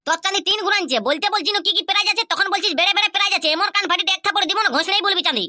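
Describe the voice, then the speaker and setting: A woman voicing a bossy, hot-tempered village elder brother in a Purulia Bengali accent, scolding and quick. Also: extremely high pitch, pushed and bright, no room sound, studio quality, full character voice.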